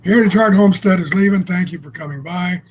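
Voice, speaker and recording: A man co-hosting a relaxed chat, speaking in a fairly high register. He tells stories in a blunt, salty, meandering way and often restarts his sentences. A faint noise sits under his voice. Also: quick pace. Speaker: co-host. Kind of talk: casual conversational storytelling.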